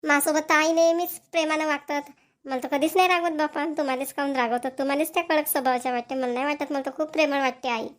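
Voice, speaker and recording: A woman plays an affectionate cartoon village woman with a high voice and a rural Maharashtrian accent. She talks in a homely, chatty way, full of fondness for her family. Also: fairly even intonation, fast, lightly acted. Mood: respectful, gentle. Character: affectionate village women, doting grandmother and dutiful daughter-in-law. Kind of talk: Marathi family cartoon voice acting.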